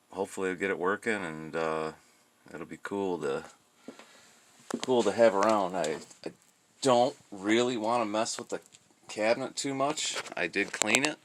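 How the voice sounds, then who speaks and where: A man giving casual, unscripted commentary on a hobby repair project, talking in a halting way as he thinks aloud. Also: sits low, bright, forceful tone, a little hiss or hum. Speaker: hobbyist radio restorer presenting his project.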